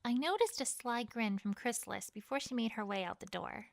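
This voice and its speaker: Lady narrating fanfic, highish bright voice w some fry, really dry sound. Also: brisk, no room sound. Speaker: fan fiction reader. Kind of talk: dramatic first-person story narration.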